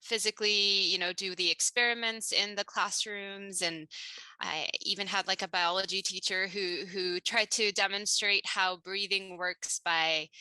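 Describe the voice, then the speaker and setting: A woman's voice: mid-range voice, pushed and bright, no room sound, low-quality recording. A woman in her thirties who grew up in Malaysia, a scientist answering interview questions thoughtfully, with many restarts and fillers.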